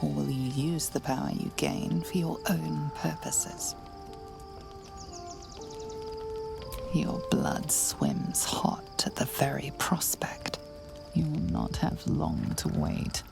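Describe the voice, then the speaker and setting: An actress playing a solemn, ominous storyteller, narrating a dark fantasy game in a hushed, grave, deliberate way. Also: female voice, low voice, sing-song, vocal fry, in a whisper, full character voice. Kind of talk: dramatic second-person game narration. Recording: music under the voice.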